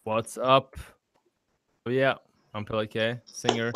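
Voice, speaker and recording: A man who is a singer and judge, talking quietly and in a laid-back way. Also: mid-range voice, flat delivery, slow pace, clean tone.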